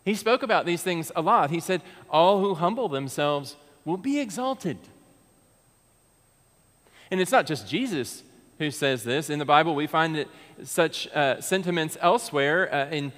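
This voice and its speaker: An American man in his thirties delivers a sermon from the pulpit with an earnest, conversational manner. His voice sits fairly high and moves with lively swings of pitch, and he takes his time. The sanctuary adds a long reverb behind his words. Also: unhurried. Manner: earnest and conversational, with frequent restarts and gestures. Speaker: pastor. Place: large church sanctuary. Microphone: pulpit mic, far camera.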